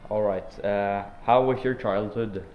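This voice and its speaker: A man's voice: fairly low pitch, fairly even intonation, a little slow, dull audio. A teenage boy as a podcast guest, giving laid-back, rambling joke answers full of fillers. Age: teens. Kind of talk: joking student podcast interview.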